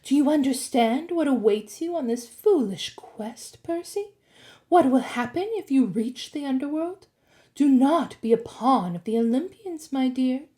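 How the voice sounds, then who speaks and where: Woman as old snake-haired monster woman, menacing, coaxing purr and snarl, high-pitched, very animated, audiobook. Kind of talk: audiobook character voice.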